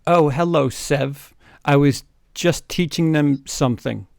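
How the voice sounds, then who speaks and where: A man's voice: fairly high pitch, measured pace, creaky, dry recording, studio quality. A man voicing a sneering, dim-witted villainous wizard professor in a comic read-aloud, declaiming with exaggerated menace and babyish mispronunciations. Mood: hammy.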